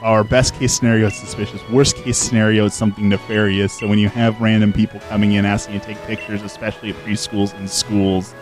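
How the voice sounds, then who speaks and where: A middle-aged man with a fairly low voice talks into his desk microphone during a live game stream. He is outgoing and earnest, rambling a little with fillers and restarts. Soft game music plays faintly behind him. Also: level tone, fast, few pauses. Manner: outgoing and extroverted. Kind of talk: casual live-stream chat answering viewers while gaming.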